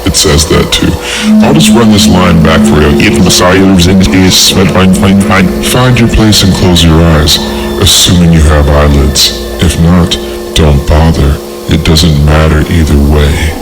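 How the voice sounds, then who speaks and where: A man with a low, deep voice hosts a podcast over loud music. He reads horror fiction theatrically, stretching out words, and drifts into rambling, sarcastic, sweary asides. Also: rough recording. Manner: sarcastic, rambling, profane, theatrically drawn-out reading. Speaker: podcast host. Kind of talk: reading a horror story with casual comic asides.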